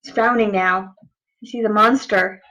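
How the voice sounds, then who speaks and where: A woman reading a picture book aloud as a teacher to children, deliberate and expressive, stressing words for young listeners. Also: mid-range voice, low-quality recording.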